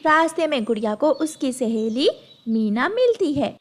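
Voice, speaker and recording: A woman narrates a children's moral story from a script. Her voice is high and moves through a lively, wide range of pitch. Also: moderate pace. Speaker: story narrator, also voicing bird characters.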